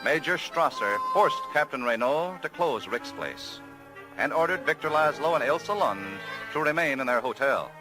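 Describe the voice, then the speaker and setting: A man's voice: on the high side, animated, moderate pace, music in the background, low-quality recording. A man narrating a 1940s radio drama in a scripted, dramatic style. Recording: old 1940s radio broadcast.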